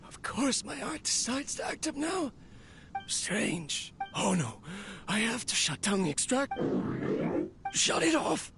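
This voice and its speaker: A man's voice: very high voice, big pitch swings, slow pace, long pauses, music in the background, full character voice. An actor playing a lonely, driven inventor in a video game, musing wistfully as if to his machine. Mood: alarmed. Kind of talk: video game character acting.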